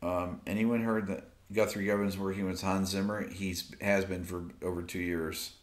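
Low-voiced man in his fifties, laid-back livestream host, rambling answers with ums, roomy studio sound. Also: normal speed. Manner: relaxed, rambling, many fillers, reading viewer questions aloud. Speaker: YouTube music channel host. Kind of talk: casual late-night livestream chat reading comments. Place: home music studio with amplifier stacks. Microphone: off-camera mic, seated at desk with phone.

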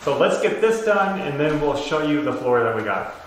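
A man's voice: a little high, big pitch swings, moderate pace, a little hiss or hum. Man in a very echoey room. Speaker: home renovation vlogger. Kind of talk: casual explanatory talk to camera. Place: empty bedroom under renovation, indoors.

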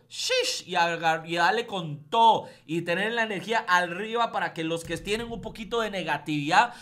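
A man with a Costa Rican accent leads a trading class with upbeat, emphatic energy. His voice is bright and forward, and he often repeats words for emphasis. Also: high-pitched. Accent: Costa Rican Spanish. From Costa Rica. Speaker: trading instructor. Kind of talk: motivational class talk.

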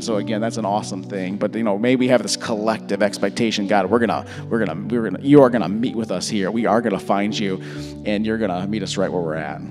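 A man in his thirties, a little hoarse, giving a relaxed church talk and prayer into a handheld mic, full of fillers and restarts. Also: on the low side. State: slightly hoarse. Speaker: pastor. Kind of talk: informal sermon and prayer. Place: large church stage. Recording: church hall reverb. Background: soft worship music.

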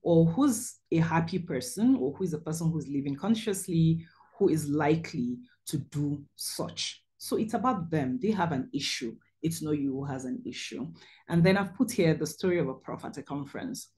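A woman's voice: low voice, animated, normal speed, dark tone. A middle-aged woman surgeon hosting a webinar on a video call, telling her own story over slides in an earnest way with frequent ums.

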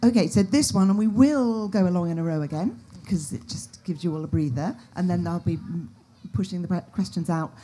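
A woman chairing a panel discussion, briskly thanking speakers and moving things along. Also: fairly low pitch, warm tone.

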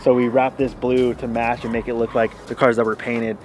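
A man's voice: mid-range voice, little pitch movement, fairly quick, darkish tone. A man in his thirties talking casually in a laid-back, slangy way, like a shop vlog host. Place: in and around an auto shop and yard. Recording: music bed behind the voice.

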